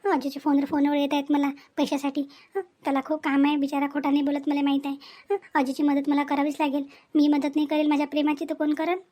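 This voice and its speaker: A woman's voice: high voice, monotone, fast, dark tone, lightly acted. A woman voicing a scheming young college-student daughter in a family cartoon, wheedling, insistent and touchy. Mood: plotting, worried. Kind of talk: cartoon family comedy acting.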